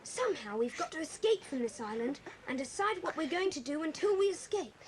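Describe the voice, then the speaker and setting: A woman's voice: high-pitched, lively intonation, a little fast. A woman playing a practical, bossy but caring child adventurer in a children's audio drama. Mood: practical, reassuring. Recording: slightly hissy 1980s cassette tape.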